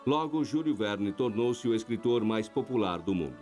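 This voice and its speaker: A man reading a scripted documentary narration in a measured, even way. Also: fairly low pitch, faint music behind.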